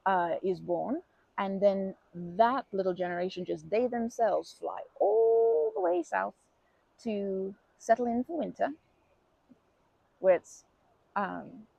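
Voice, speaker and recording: Woman playing a creaky, breathy, kindly old grandma. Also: medium pitch, no echo, rough recording. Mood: chatty, rambling. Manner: gentle, rambling, folksy wording, soothing asides. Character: kindly, chatty old grandma comforting a crying youngster. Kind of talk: ASMR comfort roleplay.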